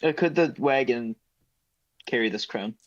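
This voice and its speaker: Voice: mid-range voice, unhurried, no echo. A tabletop gamer talking casually through the game in a mid-range voice. The audio is dry and a little dull and lo-fi. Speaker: player in a tabletop role-playing game.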